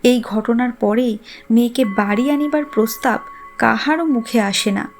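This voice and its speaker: A woman's voice: a little high, unhurried, faint music behind. A woman narrating a literary story a script.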